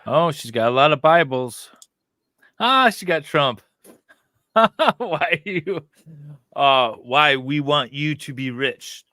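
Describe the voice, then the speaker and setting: Sarcastic man chatting, bright, dry sound. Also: on the high side, expressive, slow, long pauses, dry recording. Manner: sarcastic, mocking asides. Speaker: host. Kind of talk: casual chat with read-out web page and commentary.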